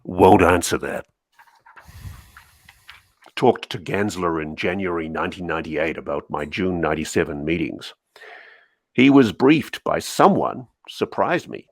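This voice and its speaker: A man doing a semi-dramatic cold reading as a guarded navy admiral, in clipped, halting, deliberate phrases. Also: deep voice, lively intonation, no room sound, exaggerated voice. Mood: guarded, firm. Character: guarded, terse male navy admiral recounting secrets.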